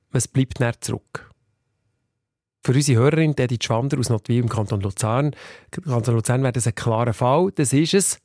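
A man presenting a radio show, reading traffic news and listener questions in a brisk announcer manner. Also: medium pitch, darkish tone, dry recording, clean studio sound. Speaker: radio host.

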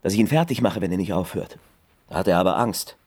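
Luckless private detective: low husky voice, hesitant, insecure, naturalistic radio crime drama. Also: male voice, animated, darkish tone. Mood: uneasy, agitated. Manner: hesitant, halting. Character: downtrodden, insecure, lovelorn male private detective.

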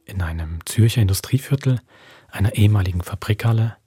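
A man's voice: fairly low pitch, quick pace, mellow, breathy edge. A man hosting a podcast, giving a scripted, atmospheric introduction. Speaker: podcast host. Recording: music under the voice.

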